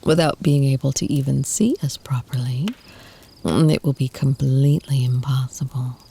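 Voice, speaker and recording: A woman playing an ancient, tender, protective vampire lover in an ASMR roleplay, speaking in a soft, breathy, soothing whisper close to the mic. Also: rumbling low, a little hiss or hum. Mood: tender, confident. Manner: slow, soothing, intimate murmur with lingering pauses.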